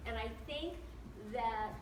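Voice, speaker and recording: A woman's voice: fairly high pitch, slow. A woman, a local preservation advocate, giving a firm, principled public comment at a meeting. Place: community meeting room. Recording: echoey hall, distant, noisy.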